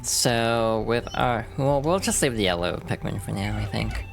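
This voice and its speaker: A game streamer talks through a run in a loose, rambling way, full of little fillers and asides. The voice is hoarse and a bit froggy. Faint game music plays underneath. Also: mid-range voice, a little slow. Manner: relaxed, rambling, thinks aloud with many fillers. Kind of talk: casual gameplay commentary.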